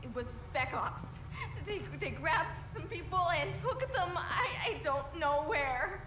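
Traumatized female game survivor, extremely high, shaky, tearful, echoey room. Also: expressive, unhurried, over music. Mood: shaken. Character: terrified wounded-looking young woman survivor. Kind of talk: video game voice acting. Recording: roomy echo, noisy game ambience.

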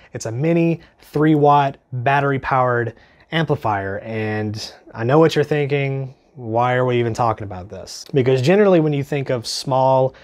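A man's voice: medium pitch, a little mellow. A young man in his twenties with a stuffy, congested voice from a cold, chatting casually and earnestly as he reviews gear in his small home studio, with lots of ums. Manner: chatty, filler-heavy, earnest.

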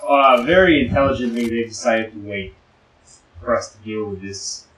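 A man co-hosting a let's play, in casual gaming banter, with jokey, rapid, repeated callouts to his partner. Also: mid-range voice, a bit bright. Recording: roomy echo.

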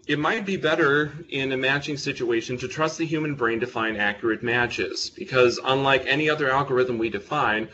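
A man's voice: mid-range voice, a bit bright, dull audio, slight background noise. A young man presenting a technical talk to a live audience in a meeting room, speaking close to his microphone with a big voice and casual, self-deprecating humor. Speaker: presenter at a user group meeting.

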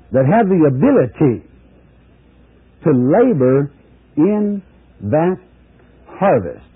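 Slow husky man preaching. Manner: slow, deliberate, drops low at phrase ends. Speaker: pastor. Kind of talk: Bible teaching sermon. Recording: tinny narrow-band broadcast audio.

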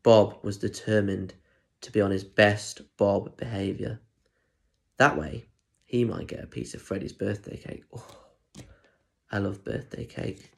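British man, twenties, creaky voice, storybook reading, playful questions to young listeners. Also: on the low side, steady tone, unhurried, pauses often. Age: 20s. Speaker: primary school story reader. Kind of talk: animated children's storybook reading with chatty asides. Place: plain room at home. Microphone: phone or tablet at arm's length.

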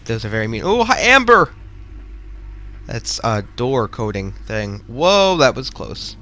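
A man giving casual, excitable, rambling commentary while playing through a video game. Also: mid-range voice, measured pace. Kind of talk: casual let's-play commentary.

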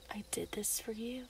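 A woman playing an obsessive, possessive young werewolf in love in an intimate ASMR roleplay, cooing and menacing in breathy half-whispers. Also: very high voice, noisy background, exaggerated voice. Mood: pleading, smitten.

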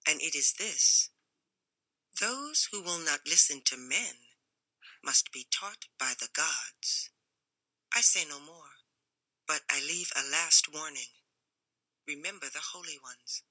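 A woman voicing a male stranger with a thin, high, sharp-edged voice and a foreign accent, who gives solemn warnings. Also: big pitch swings, a little slow, no room sound, heavily acted, rough recording. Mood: ominous. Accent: foreign-sounding.

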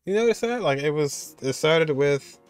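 A man's voice: fairly high pitch, expressive, normal speed, clean tone. A man, giving informal, conversational reaction commentary. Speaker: reaction video host. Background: music.

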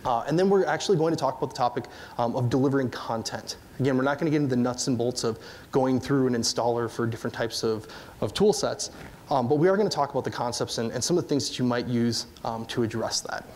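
A man in his thirties with an upper Midwest accent, giving a relaxed technical conference talk with lots of fillers and questions to the audience. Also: medium pitch, quick pace, slight background noise. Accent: upper Midwest American. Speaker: conference presenter. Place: large dark stage before an audience.

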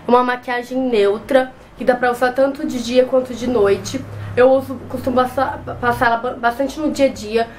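Young woman, has a cold, chatty tutorial talk, higher voice, faint room hum. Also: steady tone, normal speed, low-quality recording. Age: young adult. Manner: chatty, repeats words, hesitant restarts. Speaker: beauty vlogger. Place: small bedroom with shelves and a clothes rack. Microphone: camera close in front.

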